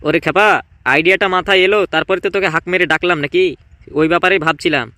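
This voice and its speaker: A man's voice: high-pitched, fairly even intonation, very fast, pushed and bright, no room sound. A man voicing a preoccupied, self-important village council chief in a comedy cartoon, blunt and musing.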